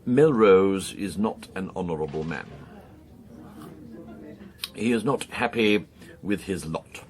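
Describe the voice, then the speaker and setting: An arch English secret agent in a radio drama. The voice is extremely low, with fastidious, precise phrasing that insinuates scandal as gossip. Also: male voice, expressive. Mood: sly, insinuating.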